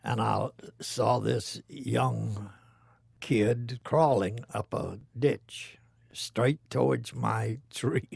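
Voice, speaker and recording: An elderly man recounting a personal war memory in halting, short phrases. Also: mid-range voice, fairly even intonation, vocal fry, darkish tone, slightly rough, no room sound. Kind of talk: slow personal war recollection.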